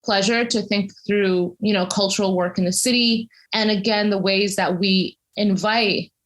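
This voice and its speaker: A woman speaking as an interviewed cultural consultant and academic, giving articulate, measured, detailed explanations in a conversational way. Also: medium pitch, steady tone, a bit bright.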